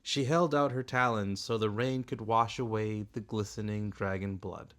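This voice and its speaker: A man narrating a storybook aloud in a deliberate, expressive, dramatic way. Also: lowish voice. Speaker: narrator, father reading aloud.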